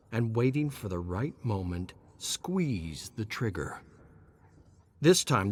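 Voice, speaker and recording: A man's voice: on the low side, slight background noise. A man reads a scripted horror story in a theatrical, suspenseful manner, drawing out words for emphasis. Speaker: narrator reading game lore stories. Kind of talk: dramatic scripted horror narration.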